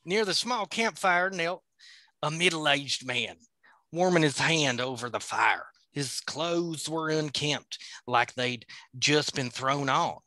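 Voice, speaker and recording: A man's voice: fairly high pitch, takes it slowly, sharp tone, no room sound. A man hosting a podcast, reading a novel aloud with playful, joking asides. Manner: playful, laughs at his own slips.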